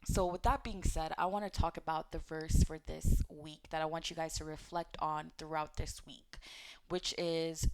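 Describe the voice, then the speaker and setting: A woman hosting a relaxed religious teaching talk, speaking straight to her viewers in a conversational, encouraging way, with fillers and self-corrections along the way. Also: low voice, moderate pace, clear, resonant tone. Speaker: host of an Islamic teaching channel.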